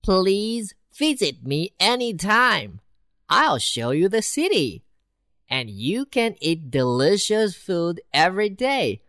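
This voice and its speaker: A proud city mouse who loves cakes and looks down on country life, voiced by a woman reading a storybook aloud. The voice is low-pitched, very slow and swoops widely in pitch, recorded close and dry. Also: sing-song, fairly bright tone, no room sound, heavily acted. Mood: eager. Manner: slow, bouncy storybook voice with big pitch swings. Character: boastful, worldly city mouse.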